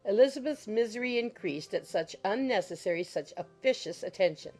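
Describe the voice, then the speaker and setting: A woman's voice: medium pitch, lively intonation, fairly quick, a little mellow. A woman reading scripted literary narration as the narrator of an audiobook. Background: music.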